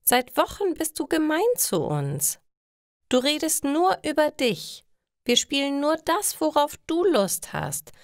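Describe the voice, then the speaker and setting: Woman as a high, firm, outspoken young girl. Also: very animated, slow, no room sound, clean studio sound, heavily acted. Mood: reproachful.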